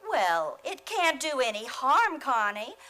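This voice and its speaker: Radio comedy role: genteel, encouraging landlady, played by an actress, high, bright voice. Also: very animated, slow, full character voice, poor audio. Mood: kindly, chatty. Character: kindly, chatty landlady over breakfast. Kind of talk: old-time radio comedy acting.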